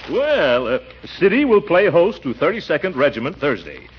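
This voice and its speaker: Blustering pompous uncle, lively swooping delivery. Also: male voice, fairly high pitch, normal speed. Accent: American. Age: middle-aged. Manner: hemming, chuckling bluster. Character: pompous, blustering, good-natured middle-aged uncle. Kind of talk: 1940s radio comedy acting. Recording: muffled, noisy 1940s radio, studio audience.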